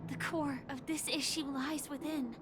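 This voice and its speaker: An inquisitive young woman from a video game. She speaks high and very slowly, with composed, thoughtful deliberation. Music is clear in the background. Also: fairly even intonation. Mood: curious, thoughtful. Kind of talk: video game story dialogue.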